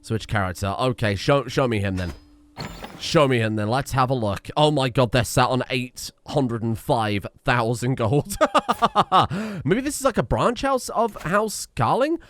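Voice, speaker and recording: A young man giving casual, excitable commentary on a strategy game, often exclaiming and restarting his sentences. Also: mid-range voice, unhurried, dry recording. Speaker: gaming streamer. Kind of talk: casual let's-play commentary.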